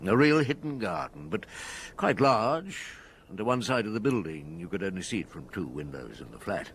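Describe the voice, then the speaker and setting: An actor playing a courteous, dogged police chief inspector, measured and dry, in a radio detective drama. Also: male voice, sits low, big pitch swings, fairly bright tone, slight background noise, heavily acted. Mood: reflective.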